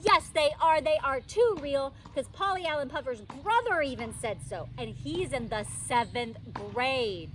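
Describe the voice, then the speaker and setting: A woman voicing an excitable, stubborn little girl from a storybook, insistent and pleading with childish grammar. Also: sits high, unhurried, few pauses. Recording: distant microphone, faint background noise.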